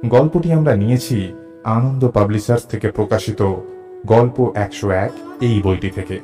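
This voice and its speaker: A man narrating a scripted audio story in a measured, expressive storytelling manner. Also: lowish voice, music in the background. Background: musical background.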